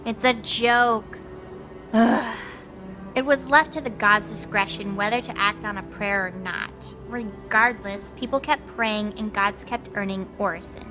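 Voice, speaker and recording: A woman's voice: medium pitch, measured pace, music in the background, lightly acted, poor audio. A woman playing a brash, teasing, cocky goddess in an audio drama, flippant and slangy. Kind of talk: acted audio drama dialogue.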